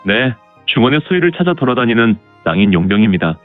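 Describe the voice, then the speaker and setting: A man's voice: mid-range voice, very animated, fast talker, narrow, tinny audio, natural voice. A man playing a polite young wandering mercenary swordsman in a martial arts audio drama, composed and formal. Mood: courteous, composed. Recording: band-limited, music underneath.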